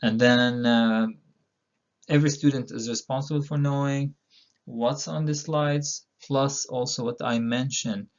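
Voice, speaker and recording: Middle-aged male professor from Palestine, relaxed lecture delivery with lots of fillers, some vocal fry, slightly muffled audio. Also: medium pitch, unhurried. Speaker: university instructor. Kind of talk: recorded online course introduction.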